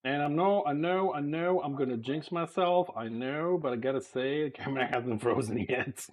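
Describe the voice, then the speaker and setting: A man's voice: a little high, normal speed. Chatty Greek man with a slight lisp. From Greece. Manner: easygoing and chatty, laughs often. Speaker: live-streamer. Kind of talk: casual live-stream chat while cooking. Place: home kitchen.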